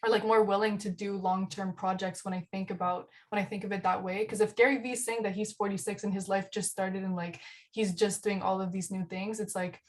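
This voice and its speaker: Casual young woman, mid-pitched voice. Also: flat delivery, brisk. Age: 20s. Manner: informal, heavy use of "like". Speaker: podcast co-host. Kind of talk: casual chat.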